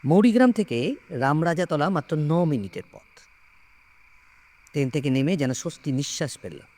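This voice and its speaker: A man narrating a scripted ghost story in the first person, in a dramatic, expressive way. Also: fairly high pitch, normal speed.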